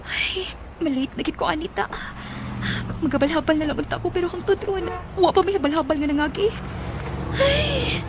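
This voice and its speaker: High-pitched, earnest young Filipino woman. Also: expressive, normal speed, background noise. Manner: emotive, earnest. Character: dutiful young working woman, tender and self-sacrificing. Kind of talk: Filipino radio drama acting.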